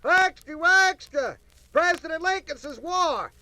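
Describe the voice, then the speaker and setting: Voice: very high voice, expressive, measured pace, sharp tone, dry recording, full character voice. A performer voicing a young newsboy, hawking headlines in sing-song street calls for a radio drama on a noisy vintage recording. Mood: urgent hawking. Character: shrill young newsboy crying Civil War headlines.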